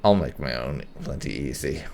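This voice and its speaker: A man hosting a casual video game playthrough, haltingly thinking aloud as he comments. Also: rumbling low, lively intonation, slight background noise. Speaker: gaming channel host. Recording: game ambience faintly behind commentary.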